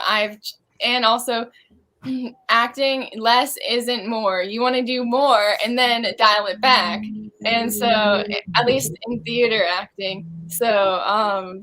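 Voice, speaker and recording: A woman's voice: fairly high pitch, pushed and bright, rough recording. A woman speaking informally as an interviewed stage actor, offering advice in a reflective, casual way.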